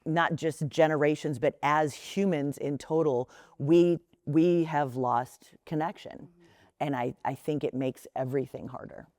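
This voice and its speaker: A woman's voice: low voice, steady tone, unhurried, a little mellow, dry recording. Blunt woman in her fifties. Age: 50s. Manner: blunt, emphatic, with occasional swearing. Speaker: panel guest.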